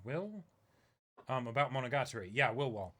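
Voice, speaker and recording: A man's voice: mid-range voice, expressive, moderate pace, a bit bright. A man co-hosting an online reaction channel, explaining things in casual, unscripted talk and often checking that he is followed.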